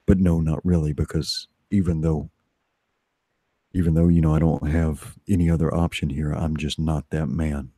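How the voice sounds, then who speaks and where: A man with a very low, mellow voice talks casually on a livestream. His phrasing is informal and repetitive, and the sound is close and dry. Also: little pitch movement, normal speed, husky, no room sound.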